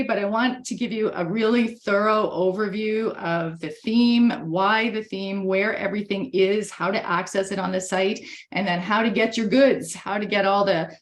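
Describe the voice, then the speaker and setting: A woman losing her voice a bit, chatting through a video-call webinar presentation with lots of fillers and restarts. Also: medium pitch. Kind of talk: casual presentation. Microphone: computer mic on a video call.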